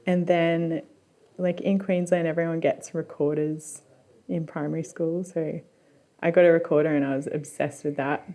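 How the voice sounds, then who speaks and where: A woman's voice: sits low, flat delivery, normal speed, mellow. A woman, a band's multi-instrumentalist, chatting playfully in a documentary interview.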